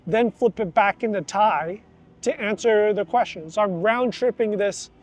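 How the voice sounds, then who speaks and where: A middle-aged man vlogs outdoors near a festival. His voice sits fairly high for a man, and he talks in a chatty, animated way. He often slips into quick quoted voices. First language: English. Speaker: vlogger, student of Thai. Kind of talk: casual vlog talk to camera.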